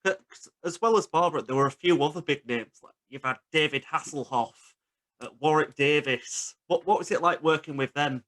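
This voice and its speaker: A man's voice: a little high, normal speed, a bit bright. A man hosting a casual interview, putting questions to his guest in an informal, conversational way. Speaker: interviewer, host.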